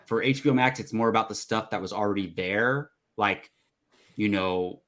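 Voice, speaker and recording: Lower-voiced man in casual chat. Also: moderate pace, fairly bright tone. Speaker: panelist on a movie talk show.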